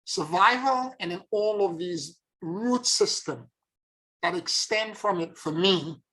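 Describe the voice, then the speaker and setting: A man's voice: high-pitched, lively intonation, slow, pushed and bright, low-quality recording. A man from Haiti, a spiritual teacher, giving an earnest, introspective monologue and searching for his words as he goes. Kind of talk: reflective spoken monologue.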